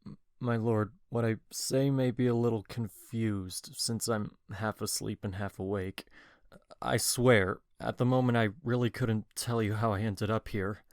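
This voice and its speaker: A man's voice: on the low side, steady tone, a little slow, dry recording, clean studio sound. A man playing a deferential, confused young lover in a Shakespeare audio drama, hesitant and apologetic.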